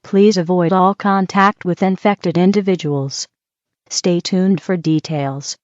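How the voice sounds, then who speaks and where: A woman's voice: lowish voice, steady tone, takes it slowly, heavy background noise. A woman playing an impersonal emergency broadcast announcer, reading scripted warnings in an official manner. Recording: dull, dry lo-fi broadcast.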